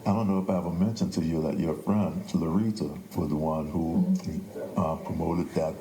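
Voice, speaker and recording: A man's voice: very deep voice, fairly even intonation, moderate pace, warm tone. An older man, a playwright, telling stories in an interview conversation. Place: indoors at a theater. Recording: echoey room, faint background noise.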